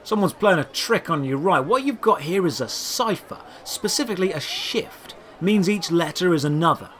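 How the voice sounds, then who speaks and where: A man's voice: high-pitched, animated, moderate pace, fairly bright tone, voice pushed up. A man voicing a cheerful, quirky market stallholder with chirpy sales patter in an audio drama. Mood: explanatory.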